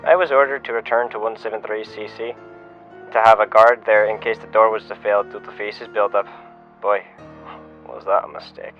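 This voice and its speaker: Low-voiced shaken security officer, muffled recording man acting. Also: steady tone, moderate pace, lightly acted. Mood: grave, measured. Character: shaken male security officer giving an incident report. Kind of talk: first-person scripted audio log. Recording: muffled lo-fi radio-style sound, music behind. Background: music.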